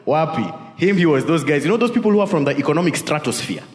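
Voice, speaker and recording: A man's voice: fairly high pitch, big pitch swings, fast talker. A man with a Kenyan accent preaching a conversational sermon, telling personal stories in an informal way. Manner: informal, peppered with tag questions and Swahili asides. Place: large reverberant indoor hall. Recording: echoey hall with long reverb.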